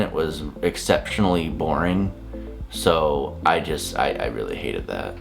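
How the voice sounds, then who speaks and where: Low-voiced man, blunt casual reviewer. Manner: blunt, exasperated, rambling delivery. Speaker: reviewer, vlogger. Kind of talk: casual opinionated review to camera. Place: small home office, indoors.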